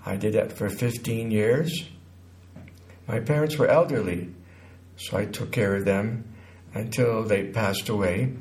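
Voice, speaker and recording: A man in his sixties from Connecticut recounts his life as an interview guest, haltingly, pausing mid-sentence. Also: fairly low pitch, darkish tone. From Connecticut, United States. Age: 60s.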